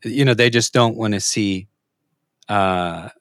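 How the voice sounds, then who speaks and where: A man from Norway asking an interview guest long, thoughtful questions, pausing and hesitating often. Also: on the low side, a bit bright, clear, resonant tone, no room sound, clean studio sound.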